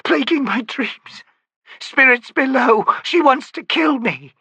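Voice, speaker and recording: A man voicing a terrified old woman, a grieving mother who pleads in a shrill, desperate voice. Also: big pitch swings, unhurried, bright, forceful tone, no echo, exaggerated voice. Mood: hysterical.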